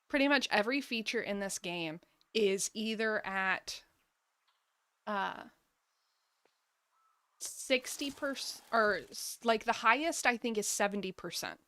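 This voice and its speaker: A woman's voice: mid-range voice, animated, slow, pauses often, bright, forceful tone. A woman doing casual live gaming commentary, chatting and thinking aloud as she plays. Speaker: game streamer.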